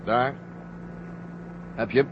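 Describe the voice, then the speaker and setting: A man playing an excitable, eager astronaut crewmate in a vintage science fiction radio play. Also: medium pitch, takes it slowly, natural voice. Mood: excited. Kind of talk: 1970 Dutch science fiction radio drama acting. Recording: muffled, noisy old radio play recording.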